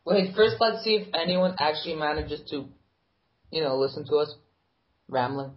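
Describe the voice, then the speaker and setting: A man bantering and teasing his friends in a loose podcast chat. His voice sits slightly high, and the recording sounds muffled and lo-fi. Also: animated, moderate pace. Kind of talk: casual chat among friends.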